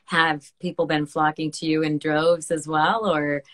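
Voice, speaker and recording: A woman's voice: deep voice, little pitch movement, unhurried, fairly bright tone. A woman hosting an interview podcast, asking friendly questions with small laughs. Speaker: podcast host. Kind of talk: casual interview.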